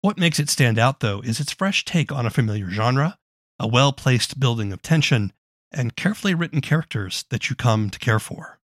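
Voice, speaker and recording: Husky guy hosting a podcast, dry close sound, bit of music around. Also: medium pitch, lively intonation, moderate pace, dry recording. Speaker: podcast host and interviewer. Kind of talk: interview and scripted outro narration.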